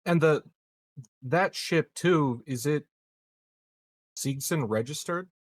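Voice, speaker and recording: A man's voice: a little high, slow, no echo, studio quality. A man chatting casually as a player in a tabletop roleplaying game. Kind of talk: casual chat.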